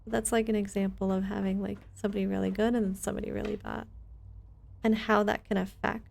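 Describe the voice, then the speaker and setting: Mellow, creaky-voiced woman streamer. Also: mid-range voice, flat delivery, fast, a little hiss or hum. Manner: rambling, conversational, thinking aloud. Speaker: game streamer. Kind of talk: casual livestream chat while playing a game.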